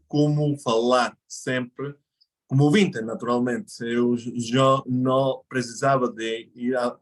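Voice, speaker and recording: Mexican-born man explaining at length. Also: mid-range voice. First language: Spanish. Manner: explanatory, thinks aloud with pauses and self-corrections. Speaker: guest. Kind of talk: casual interview conversation.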